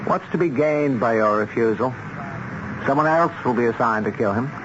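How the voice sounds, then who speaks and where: An actor playing a cold, smooth-talking boss of contract killers, measured and quietly menacing in a radio drama. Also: male voice, medium pitch, natural voice. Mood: coldly persuasive. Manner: calm, measured, coaxing, menacing. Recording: dull, noisy old radio broadcast recording.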